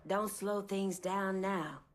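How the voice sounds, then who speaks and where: An actress playing a proud, defiant woman crime boss with a measured, commanding manner in a video game. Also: medium pitch, steady tone, natural voice. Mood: proud, assured. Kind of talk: video game cutscene acting.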